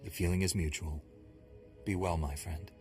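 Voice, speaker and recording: An actor playing a stern, commanding Jedi Knight in a video game, terse and formally controlled. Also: male voice, low-pitched, steady tone, moderate pace, a little mellow, soft background music. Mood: composed.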